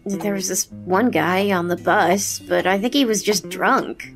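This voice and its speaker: A woman's voice: mid-range voice, a little slow, close to an everyday voice. Teenage girl woman actor, sarcastic, resolute, audio drama, music underneath. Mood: exasperated, sarcastic. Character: sarcastic, determined teenage girl hunting for her missing friend. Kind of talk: audio drama acting and first-person narration.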